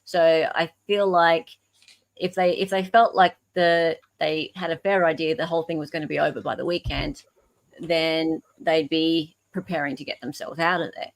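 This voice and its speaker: A woman with an Australian accent co-hosting a casual discussion of legal emails, explaining things in a halting, self-correcting way. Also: sits low, steady tone, rough recording. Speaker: podcast co-host.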